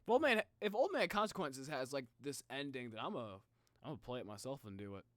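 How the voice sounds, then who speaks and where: Casual male streamer, halting, close dry sound. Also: mid-range voice, lively intonation, a bit bright, no echo. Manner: informal, halting, talks to viewers, mutters to himself. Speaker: live streamer reacting to game videos. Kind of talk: casual livestream chat.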